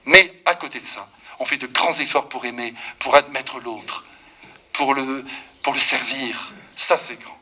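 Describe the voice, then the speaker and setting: Un prêtre d'une cinquantaine d'années prêche un sermon avec vivacité, taquine l'assemblée et s'adresse directement à elle. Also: male voice, medium pitch, unhurried, slightly rough. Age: 50s. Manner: lively, teasing, talks straight to the congregation. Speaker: priest.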